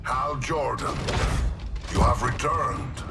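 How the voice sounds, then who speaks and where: Gravelly, wrathful alien warlord. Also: male voice, mid-range voice, steady tone. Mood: cold menace. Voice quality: gravelly, guttural. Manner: slow, grandiose threats. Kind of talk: video game cutscene acting. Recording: loud music under the voice.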